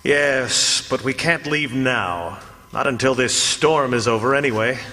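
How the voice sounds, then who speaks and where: An actor playing a suave, composed gentleman investigator, speaking politely and deliberately in old-time radio mystery style. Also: mid-range voice. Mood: thoughtful. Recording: long hall-like reverb on the voice.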